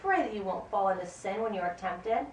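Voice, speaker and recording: An earnest, commanding Jesus in a Bible puppet play, acted out by a woman. The delivery is solemn and drawn out, swinging widely in pitch, and it sounds roomy and far from the mic. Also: expressive, brisk, close to an everyday voice. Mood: urgent, reproachful. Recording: roomy, distant mic with faint noise.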